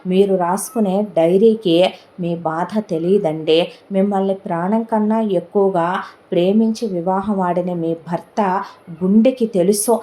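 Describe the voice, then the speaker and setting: A resolute young woman presses her point in slow, weighty, repeating phrases, as a woman storyteller voices her. Her tone is mellow and soft. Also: medium pitch, steady tone, lightly acted. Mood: solemn insistent. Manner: measured, rhetorical and emphatic. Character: determined young woman revealing hidden truths.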